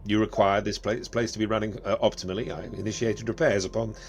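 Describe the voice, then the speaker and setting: A man's voice: fairly low pitch, steady tone, fast talker, faint music behind, close to an everyday voice. A man playing a polite, methodical AI overseer in a sci-fi audio drama, speaking in formal procedural terms. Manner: formal, procedural wording with hesitations. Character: polite male AI overseer, methodical and matter-of-fact.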